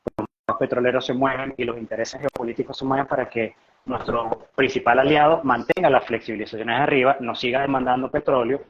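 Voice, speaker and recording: A man's voice: mid-range voice, steady tone, fast, breathy edge. A man with a Venezuelan accent giving conversational economic commentary as a guest analyst, talkative and explanatory, often posing rhetorical questions. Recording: dull, lo-fi live stream audio.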